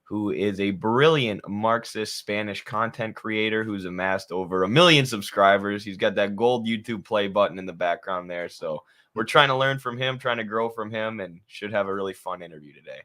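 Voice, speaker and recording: Male host, lowish voice, brisk welcoming intro. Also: fairly bright tone. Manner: upbeat, welcoming. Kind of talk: show introduction.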